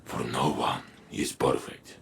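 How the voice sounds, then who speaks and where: A man voicing a proud, theatrical robot in a video game, speaking in a grand, deliberate, philosophical manner. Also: very deep voice, creaky, fairly bright tone, slightly rough, heavily acted. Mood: grand, reflective.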